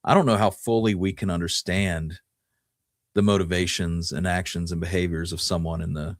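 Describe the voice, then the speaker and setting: A man with a low-pitched voice co-hosts a history podcast. He talks in a casual storytelling way, feeling out his phrasing as he goes. The sound is dry, with very little room to it. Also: no room sound. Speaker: podcast co-host.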